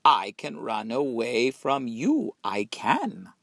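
A man's voice: medium pitch, huge pitch swings, slow, creaky, fairly bright tone, dry recording, exaggerated voice. A cheeky little gingerbread boy from a storybook, acted out by a man. He boasts in rattled-off sing-song chants that leap up suddenly, with some grit in the voice. The sound is close and dry. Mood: boastful.

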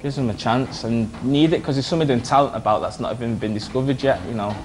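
Young adult boxer; mid-pitch, relaxed and easy laugher, gym interview. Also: male voice, quick pace, a little hiss or hum. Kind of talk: casual interview. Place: boxing gym ring.

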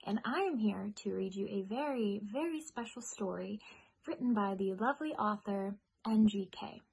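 A young American woman speaking in a friendly storybook-reading style, with a husky voice. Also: medium pitch, moderate pace, a little mellow. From United States. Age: young adult. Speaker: singer-songwriter reading a children's story.

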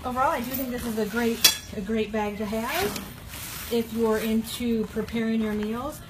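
A woman's voice: a little high, a little slow. A woman giving a casual, matter-of-fact product review in her kitchen, talking off camera into her phone with frequent fillers. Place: home kitchen, indoors. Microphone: phone camera held by the speaker, off-screen. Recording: phone video with audible background noise.

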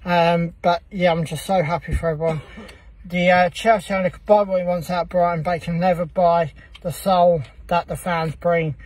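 A man's voice: high voice, steady tone, moderate pace, darkish tone, dry recording, far from the mic. A young man, talking to his phone at arm's length in a parked car after a football match, rambling happily with lots of ums. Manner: gushing, rambling, many "um"s. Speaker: football fan vlogger. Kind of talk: excited casual vlog chat. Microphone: phone held at arm's length.

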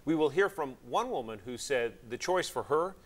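A man's voice: on the high side, lively intonation, moderate pace. Male news anchor presenting from studio. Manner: crisp anchor delivery.

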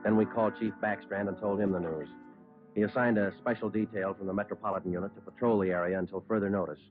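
An actor playing a terse, businesslike American police sergeant, narrating his case in a deadpan old radio crime drama style. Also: fairly low pitch, fast, close to an everyday voice. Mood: matter-of-fact. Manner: clipped, deadpan, matter-of-fact. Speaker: detective narrator. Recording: muffled old radio broadcast, music behind.

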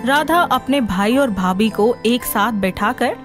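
A female narrator delivers a scripted story. Her voice sits a little high, and light music plays behind her. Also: animated, takes it slowly, fairly bright tone.

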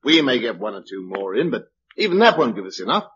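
Forceful, hot-tempered British survivor leader. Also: male voice, medium pitch, sing-song, quick pace, breathy edge. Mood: urgent, persuasive. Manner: emphatic, argumentative, speechifying. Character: hot-tempered forceful male organizer urging survivors to rebuild. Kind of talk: 1950s-60s British radio drama acting. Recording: dull old radio broadcast recording.

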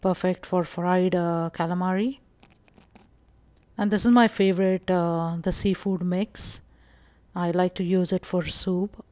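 A woman from India narrating a casual shopping walkthrough vlog, calm and explanatory with frequent pauses and fillers. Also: lowish voice, dry recording. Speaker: vlogger.

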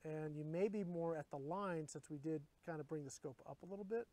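A middle-aged man hosting, explaining casually in relaxed talk at an outdoor shooting range, recorded on a camera mic outdoors. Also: on the high side, brisk. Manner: easygoing, explanatory. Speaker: host.

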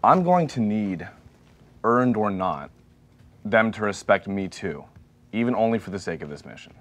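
A man's voice: on the low side, very animated, moderate pace, a bit bright. A man playing a tabletop roleplaying game, talking in character in an exasperated, pressing way. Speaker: player.

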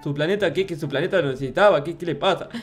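A man's voice: on the high side, very fast, close to an everyday voice. A man playing a naive, childlike, devoted little character in improvised video game roleplay, stammering and pleading. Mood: earnest explaining. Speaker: gameplay roleplay YouTuber. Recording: music behind the voice.

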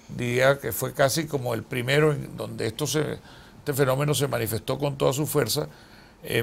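Gravelly older Colombian man. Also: mid-range voice, slight background noise. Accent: Colombian Spanish. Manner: deliberate, with many hesitant "eh" fillers. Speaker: interview guest, journalist. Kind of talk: measured interview answers. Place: TV studio. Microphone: lapel.

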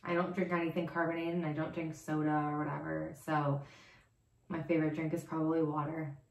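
A woman's voice: deep voice, level tone, brisk, gravelly. A young woman from New Jersey chatting in a giggly, upbeat way in a bedroom, filmed by a camera across the room. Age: young adult. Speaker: plant vlogger. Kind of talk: casual chat. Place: bedroom, seated on bed. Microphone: far camera. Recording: roomy echo.

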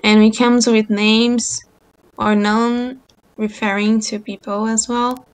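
A woman whose first language is Portuguese, leading a book club discussion over a video call and reading passages aloud, with hesitant pauses and frequent ums. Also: on the high side, level tone, low-quality recording. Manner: slow, hesitant, many ums.

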